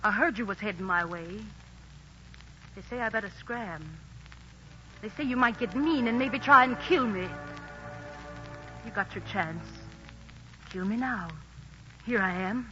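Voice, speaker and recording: A woman's voice: medium pitch, a little slow, pauses often, close to an everyday voice. An actress playing a proud, defiant young woman in a 1940s radio musical, speaking bluntly and singing in an operatic soprano. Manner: blunt, colloquial, unafraid. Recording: muffled 1940s radio broadcast. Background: orchestra music.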